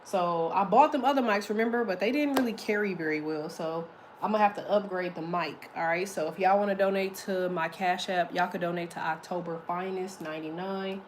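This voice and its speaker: A woman with a deep voice giving a casual, chatty tarot reading, swearing freely as she talks. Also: brisk, noisy background. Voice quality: deep, slightly muffled voice. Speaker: tarot reader.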